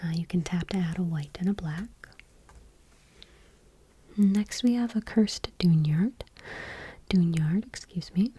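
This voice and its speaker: A woman ASMR host softly reading in a hushed, breathy whisper, gentle and unhurried, item by item. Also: on the low side, dry recording. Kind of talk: soft-spoken ASMR, whispered card reading.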